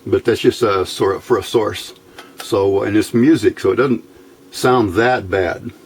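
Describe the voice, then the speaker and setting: A man giving an informal, somewhat halting hands-on electronics demonstration as the host. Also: on the low side, a little hiss or hum. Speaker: host of electronics tinkering videos. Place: indoor workbench.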